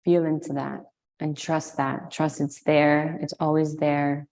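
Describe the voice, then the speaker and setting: A woman leading a guided meditation, giving slow, soothing instructions with long pauses. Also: deep voice, level tone, creaky, darkish tone. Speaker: meditation and yoga teacher.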